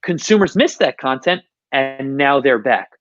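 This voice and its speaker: A man speaking as an interview guest on a podcast, explaining things in a measured conversational way over slightly lo-fi audio. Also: medium pitch, expressive, fairly bright tone, no room sound. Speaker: podcast guest, podcast industry professional.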